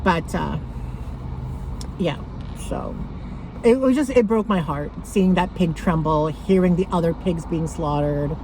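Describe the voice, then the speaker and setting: A woman talking casually to camera about her thrift finds, rambling with lots of fillers and a loud voice. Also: lowish voice, unhurried, long pauses, noisy background. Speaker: thrift haul vlogger.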